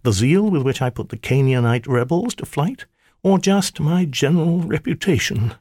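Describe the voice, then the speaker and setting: A cheeky male spirit in the guise of a flirtatious maiden, as a man puts her on in a high falsetto-ish lilt. The voice swoops and dances through a lively range, coy and wheedling, with a dry close sound. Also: moderate pace, no echo. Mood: fawning. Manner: coy, wheedling, mock-sweet. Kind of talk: audiobook character voice.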